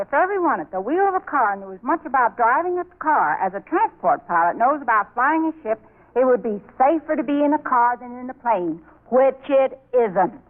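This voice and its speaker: A woman speaking emphatically and eagerly in a declamatory 1930s radio promotional style. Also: fairly high pitch. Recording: thin, phone-like 1930s radio recording.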